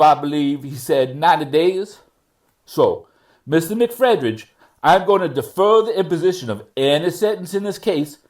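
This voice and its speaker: A man plays a judge delivering a formal courtroom ruling. His voice sits a little high and moves widely in pitch, while the phrasing stays deliberate and measured, as in a staged reading of a transcript. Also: darkish tone. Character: male judge ruling at a probation revocation hearing. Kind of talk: courtroom readers theater reading.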